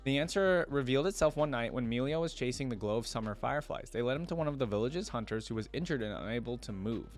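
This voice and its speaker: A man hosting a reaction video, casually commenting, reading aloud and thinking out loud as he explains things. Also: medium pitch, animated, quick pace, hardly any pauses, soft background music.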